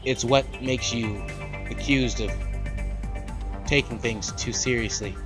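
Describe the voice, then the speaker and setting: A man hosting a let's play, reading a visual novel aloud with animated, slangy casual asides. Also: medium pitch, slow. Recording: loud background music. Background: game music.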